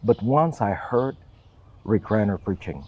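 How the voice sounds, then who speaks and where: A man's voice: lowish voice, expressive, unhurried, husky, dull audio. A middle-aged man from Russia speaking English with a Russian accent, a church assistant answering interview questions earnestly and with careful, self-taught phrasing.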